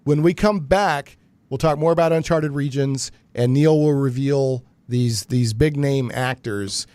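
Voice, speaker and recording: A man's voice: mid-range voice, unhurried, darkish tone, no echo. A man hosting a live geek talk radio show in a conversational, informal way.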